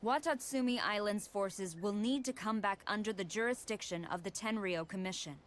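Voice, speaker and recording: A woman playing a stern, formal military commander in a video game, speaking with measured authority. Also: mid-range voice, close to an everyday voice. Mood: stern, businesslike. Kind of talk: scripted video game dialogue.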